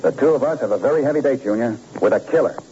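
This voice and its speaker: An actor playing a brisk, determined, no-nonsense police detective in an old radio drama. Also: male voice, mid-range voice, dark tone, close to an everyday voice. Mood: resolute, wry. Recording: muffled old radio recording, faint noise.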